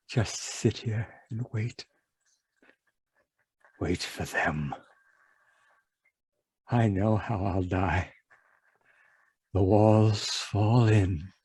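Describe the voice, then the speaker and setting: A man playing a doomed, terrified man in an old-time radio horror drama, melodramatic and halting. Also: fairly low pitch, a little mellow, husky, no echo, heavily acted. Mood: exhausted despair. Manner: melodramatic, halting, repeats words in dread. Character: doomed man, exhausted then panicking as worms swarm in.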